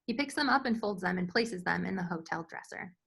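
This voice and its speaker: A woman's voice: fairly low pitch, expressive, fairly quick, gravelly, fairly bright tone, breathy edge. A woman reading screenplay stage directions as scripted narration in a table read.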